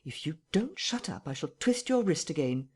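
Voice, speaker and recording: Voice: mid-range voice, sing-song, moderate pace, husky. Reader doing a curt, menacing unseen man. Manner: threatening, curt, quiet emphasis.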